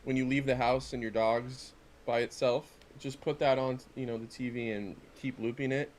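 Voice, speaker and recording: A man's voice: medium pitch, fairly even intonation, moderate pace, darkish tone. A middle-aged man chatting casually and jokingly as a podcast co-host, in a rambling way. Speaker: podcast co-host and wrestling figure collector. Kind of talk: casual chat in an unboxing video.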